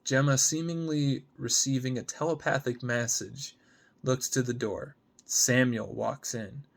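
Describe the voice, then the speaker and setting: A man's voice: mid-range voice, steady tone, unhurried, vocal fry. A man narrating scripted stage directions in a dramatic screenplay reading.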